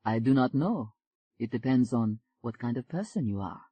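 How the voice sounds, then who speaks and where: A woman voicing a calm, aloof French-Canadian man, dropping her voice low and speaking in a measured way. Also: breathy edge, highs cut off, heavily acted. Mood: cool.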